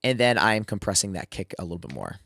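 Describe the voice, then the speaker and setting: A man's voice: low voice, very animated, quick pace, gravelly, over loud music. A man who is sick and losing his voice, casually walking through a drum mixing tutorial with lots of fillers and restarts. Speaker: music producer teaching drum mixing. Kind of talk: screen-share mixing tutorial.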